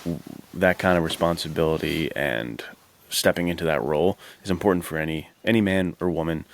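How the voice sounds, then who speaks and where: Male podcast co-host, extremely deep voice, casual film chat, discursive and halting. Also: gravelly, dry recording. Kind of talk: casual chat about films.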